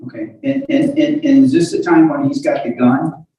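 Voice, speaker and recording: A man questioning a witness in court as a lawyer, halting and insistent, often repeating the first words of his questions. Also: on the high side, very animated, dark tone, a little hiss or hum. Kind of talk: courtroom cross-examination. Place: courtroom hearing. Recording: echoey courtroom, distant microphone.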